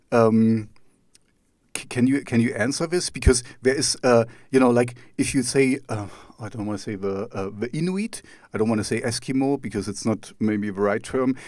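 A man's voice: mid-range voice, normal speed, a little mellow, no echo, studio quality. A German-accented man from East Germany, a native German speaker, hosting an interview in English and asking long, rambling questions full of restarts and fillers. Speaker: podcast host. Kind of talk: conversational interview.

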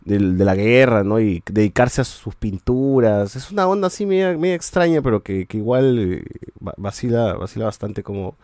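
A man's voice: fairly low pitch, big pitch swings, normal speed, hardly any pauses, a little mellow, no room sound, clean studio sound. A man, hosting a podcast and reviewing shows in casual chat, rambling with many restarts and a habit of ending sentences with a questioning tag.

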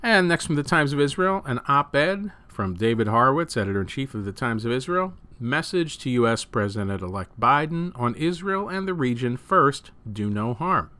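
A man reading news and opinion articles aloud as a host, with measured, emphatic phrasing that falls away at sentence ends. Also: medium pitch, expressive, sharp tone, dry recording. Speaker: news reader and show host.

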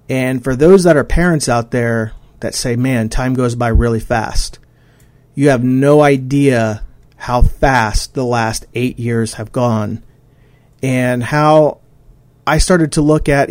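A man in his forties hosts a solo podcast, rambling along in a casual way and often stopping to restart a thought. His pitch sits in an ordinary middle range, and the recording is dry and close, with no room sound. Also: a little mellow, no room sound. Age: 40s. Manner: rambling, frequent fillers and restarts. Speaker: podcast host.

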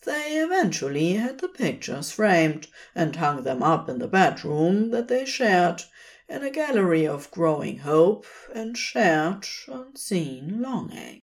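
A woman reading fiction aloud as an expressive, scripted storyteller. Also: on the low side. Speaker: podfic narrator.

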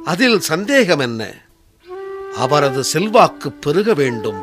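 Slow-speaking, humble prophet, formal and declamatory, lively pitch movement, radio drama. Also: male voice, over loud music. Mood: solemn, joyful.

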